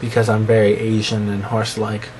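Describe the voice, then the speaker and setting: A man's voice: completely flat delivery, a little fast, a little mellow, a little hiss or hum, rough recording. A young man with a slight lisp, talking to camera in a rambling, self-mocking vlog. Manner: rambling, self-mocking, breaks into squeaky put-on voices. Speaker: vlogger. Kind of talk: rambling casual monologue to camera.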